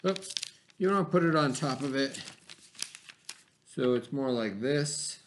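A man in his forties, a teacher, giving slow step-by-step craft instructions to children from a classroom webcam. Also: medium pitch, lively intonation, slight background noise. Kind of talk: remote classroom craft lesson. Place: classroom, indoors. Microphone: webcam at desk.

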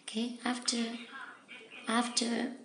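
A woman with a non-native English accent giving step-by-step product instructions in halting, simple phrases, often repeating herself. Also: on the high side, completely flat delivery, gravelly, a crowd in the background. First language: not English. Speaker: product demonstrator. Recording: echoey room with background noise.

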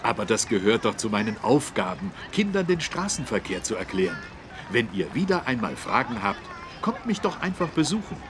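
A man voicing a friendly, dutiful policeman who explains things clearly in a children's game. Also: mid-range voice, very animated, a little fast, background noise. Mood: kindly. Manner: kindly, clearly instructive. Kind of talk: children's educational game voice acting.

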